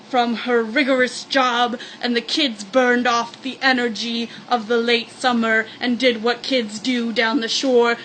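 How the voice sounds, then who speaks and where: A woman's voice: fairly high pitch, fairly bright tone, a little hiss or hum, poor audio. A woman reading a story aloud in a sarcastic, mock-dramatic comic manner, with joking asides. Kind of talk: comedic read-aloud with mock-spooky asides.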